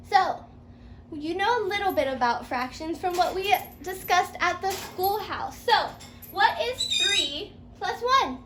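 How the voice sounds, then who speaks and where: A woman's voice: very high voice, fairly bright tone. A woman teaching a playful fairytale math lesson step by step, encouraging her pupil with sing-song questions and repeated answers. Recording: roomy echo, faint background noise.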